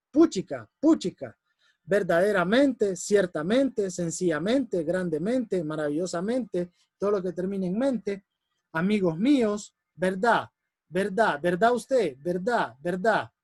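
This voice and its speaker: A man with a strong voice teaching a public speaking workshop, animated and repeating examples for emphasis. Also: high voice, dry recording. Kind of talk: workshop lesson. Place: classroom or online lesson.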